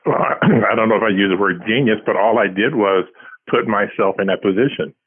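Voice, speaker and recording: A man's voice: fairly low pitch, a little fast, slightly rough, dry recording. A man of about seventy giving casual, modest and reflective interview answers as a writer, heard over a tinny phone line. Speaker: guest, science fiction writer.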